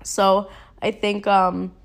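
A woman's voice: medium pitch, flat delivery, slow pace, gravelly. A young woman hosting a casual solo podcast while sick and sniffling, chatty and rambling, with slang and laughs at herself. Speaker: podcast host.

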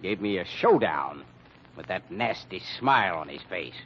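An actor playing a dour, pessimistic company treasurer who grumbles through an American old-time radio mystery. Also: male voice, low-pitched, big pitch swings, moderate pace, slight background noise. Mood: bitter. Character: sour, gloomy, grumbling male company treasurer. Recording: dull vintage radio recording.

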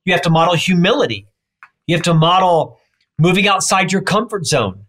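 A man with a stutter speaks as a guest in an interview, his voice sitting a bit higher than most men's. He talks emphatically and rattles off his points in quick runs. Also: expressive, a bit bright. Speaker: leadership expert guest. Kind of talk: interview answers.

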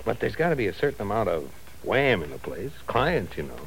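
A man's voice: lowish voice, big pitch swings, a little fast, vocal fry, rough recording. A man playing an ambitious young husband in an old radio drama, speaking tenderly and coaxingly to his wife. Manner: tender, coaxing, drops to a whisper. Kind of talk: 1940s radio acting. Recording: faint hiss of an old radio recording.

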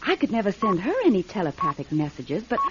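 An actress playing a gentle, devoted younger sister, earnest and hesitant yet stubborn, in a radio drama. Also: female voice, mid-range voice, very animated, fast, close to an everyday voice. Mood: gentle, wistful. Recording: muffled, noisy radio broadcast recording.

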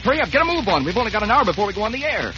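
An actor playing a brisk, commanding young bandleader in old radio comedy style. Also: male voice, high-pitched, very animated, over loud music. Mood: urgent. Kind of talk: 1930s-40s radio comedy acting. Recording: noisy, muffled old radio recording.

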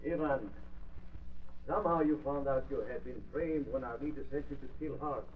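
An actor playing a smug, sinister spy in a slow, deliberate 1940s radio style. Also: male voice, medium pitch, lightly acted. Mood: cold accusing. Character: sinister, smug foreign communist spy henchman. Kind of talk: 1940s radio drama acting. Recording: muffled, noisy vintage radio recording.

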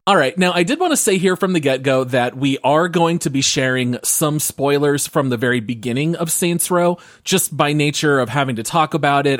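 A man's voice: fairly high pitch, no room sound. A man hosting a casual gaming podcast, upbeat and leading the discussion on a close mic. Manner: upbeat, leads the segment. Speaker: podcast host.

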